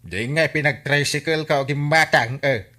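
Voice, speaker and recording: A man's voice: a little high, moderate pace, bright, forceful tone. A man playing a complaining village customer in an Ilocano comedy radio drama, grumbling and protesting with an Ilocano accent. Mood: indignant. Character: complaining village customer disputing an overpriced shared bill.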